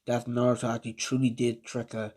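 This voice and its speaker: A narrator voicing a stern, proud teenage boy ninja who speaks with low disapproval in a fan-made story. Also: little pitch movement, a little fast, a little mellow, lightly acted. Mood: disapproving.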